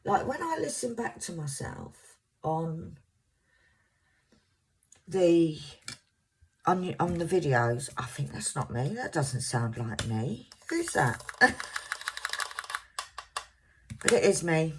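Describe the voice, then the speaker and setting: A woman in her fifties, originally from Scotland, with a soft voice and a mixed English accent, chatting to viewers in a casual, rambling way and sometimes stumbling over words. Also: sits low, big pitch swings, takes it slowly, long pauses, slight background noise. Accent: English, mixed southern. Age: 50s. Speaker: crafting vlogger.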